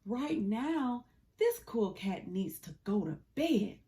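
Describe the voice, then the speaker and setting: A woman voicing a friendly, laid-back male cat in a children's storybook, with an easygoing, expressive delivery. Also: on the high side, slow. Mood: weary, patient.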